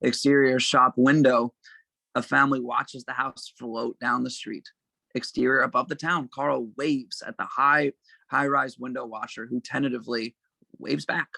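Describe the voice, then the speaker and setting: Bright, creaky male stage-direction reader. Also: medium pitch, steady tone, moderate pace, dry recording, low-quality recording. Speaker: narrator reading stage directions. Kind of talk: scripted narration at an amateur table read.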